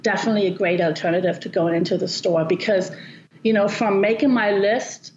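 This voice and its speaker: A woman's voice: mid-range voice, steady tone, brisk, darkish tone. A woman from Germany, a guest on a podcast, talking casually and hesitantly with many fillers. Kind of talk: casual interview chat. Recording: slightly dull, roomy sound with faint noise.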